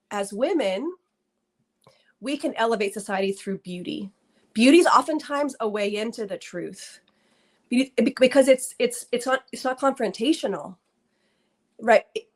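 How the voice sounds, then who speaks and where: A woman in her thirties, guest author in an interview, talking earnestly with many fillers and restarts. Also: on the high side. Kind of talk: conversational interview.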